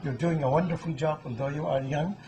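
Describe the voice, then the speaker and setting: A man with a soft-edged, mellow voice interviews as a guest host on a talk show. He repeats answers back and offers effusive praise. There is faint noise in the background of the recording. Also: fairly high pitch, steady tone, fairly quick, muffled recording.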